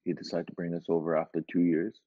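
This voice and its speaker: A man from Iran, a guest in a casual interview, telling his story in a relaxed, rambling way with lots of filler words. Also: low voice, quick pace. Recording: dull lo-fi sound.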